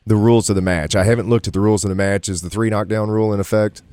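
A man's voice: fairly low pitch, fairly even intonation, rapid-fire, a little mellow, dry recording, studio quality. A man in his thirties co-hosting a casual sports radio show, laid-back and agreeable. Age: 30s.

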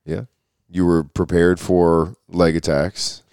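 Man, co-host, very low voice, dry close sound, relaxed chatty delivery. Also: takes it slowly, clear, resonant tone, no echo. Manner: laid-back, many agreeing fillers. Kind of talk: casual chat.

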